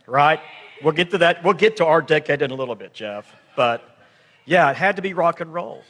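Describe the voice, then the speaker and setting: A man's voice: mid-range voice, a bit bright. A middle-aged man giving an informal, folksy talk to a congregation, often checking in with his listeners. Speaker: guest speaker at church. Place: large hall, church service. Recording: echoey hall with long reverb.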